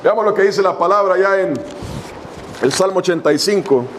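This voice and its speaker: A man preaching a sermon as a pastor, reading scripture slowly and addressing his congregation with long pauses. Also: sits high, a little hiss or hum. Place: church hall.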